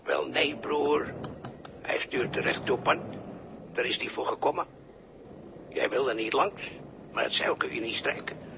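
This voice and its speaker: An actor playing a taunting, menacing crewmate in a 1960s radio drama, with a gravelly voice and a mocking, knowing manner. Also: male voice, medium pitch, measured pace, long pauses. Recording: noisy vintage 1960s radio recording.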